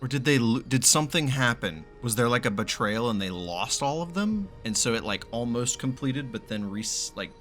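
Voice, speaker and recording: A man with a bright, forceful voice reacts live to a video game. His pitch jumps around with lots of expression, and his talk is casual, profane and full of baffled questions. Game music plays faintly behind him. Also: animated, normal speed, hardly any pauses. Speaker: gaming streamer reacting to a story quest. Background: game music and dialogue.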